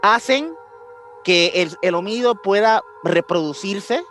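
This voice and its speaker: A man's voice: high-pitched, lively intonation, unhurried, a bit bright, faint music behind. A man with a Mexican accent hosting a podcast, telling a story casually in slangy speech and often searching for words. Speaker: podcast host.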